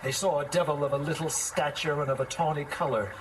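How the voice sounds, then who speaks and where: An actor playing a timid, superstitious schoolmaster in an audio drama, speaking in a formal, wordy, nervous way. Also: male voice, on the high side, steady tone, quick pace, background noise, close to an everyday voice. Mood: grave, absorbed. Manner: bookish, formal, wordy, jittery.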